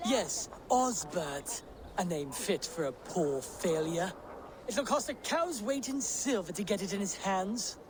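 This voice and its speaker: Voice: medium pitch, lively intonation, slow pace, a crowd in the background. An actor playing a pompous, sneering, greedy trader in a video game cutscene, savouring the words. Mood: gloating, amused. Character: haughty, gloating, greedy trader, sneering and theatrical.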